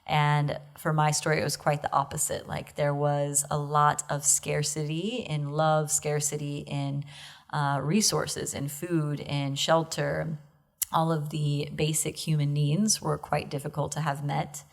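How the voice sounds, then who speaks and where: A young woman from Texas with a low voice talks through her life as a podcast guest. She is relaxed and reflective, laughing easily between her thoughts. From Texas, USA. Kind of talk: casual conversational storytelling.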